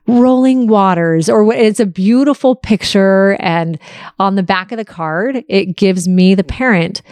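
A woman's voice: mid-range voice, a little mellow, dry recording, studio quality. A woman in her early forties talking candidly and casually to her listeners, in an open, confessional and reflective way. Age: early 40s. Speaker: podcast host answering listener questions.

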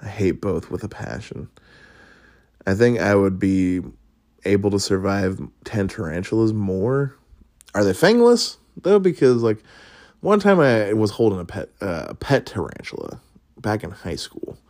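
A man's voice: on the low side, big pitch swings, unhurried, a little mellow, dry recording, studio quality. A man hosting a podcast, chatting casually through would-you-rather questions, rambling and swearing with frequent laughs. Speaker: podcast host.

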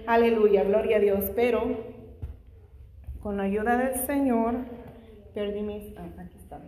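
Lady preacher, spanish is her second language, keeps saying hallelujah, big church echo, mid pitch. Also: steady tone, slight background noise. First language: not Spanish. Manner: frequent "aleluya" and "gloria a Dios" interjections; fervent prayer, louder and faster. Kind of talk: Bible study sermon. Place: large echoey church hall. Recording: echoey hall with long reverb.

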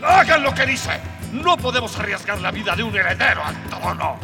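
A creaky, high-pitched villain colonel, swoops through lines with pompous theatrical relish. It is radio-play acting in a reverberant space. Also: male voice, sing-song, a little fast. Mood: alarmed. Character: gloating male imperial colonel, pompous villain, easily alarmed. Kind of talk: comic radio drama acting. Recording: reverberant hall with music underneath.

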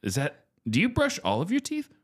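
A man's voice: sits high, huge pitch swings, fairly quick. A man co-hosting a comedy podcast, hamming it up in an improvised sketch with goofy, exaggerated lines and frequent laughter. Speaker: podcast co-host.

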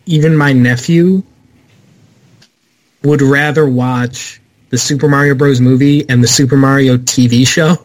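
A man's voice: medium pitch, fairly even intonation, measured pace. A man chatting casually and jokingly as a panelist on a group podcast.

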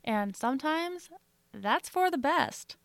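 Easygoing woman podcast cohost, kinda high voice, super dry sound. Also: expressive, takes it slowly, no echo. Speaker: podcast co-host. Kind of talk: casual chat.

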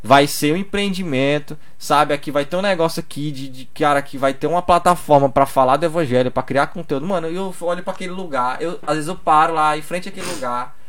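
A man's voice: fairly high pitch, fairly quick, few pauses, fairly bright tone. A young man in Brazil, telling stories in a loose, slangy casual chat full of "cara". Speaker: podcast guest.

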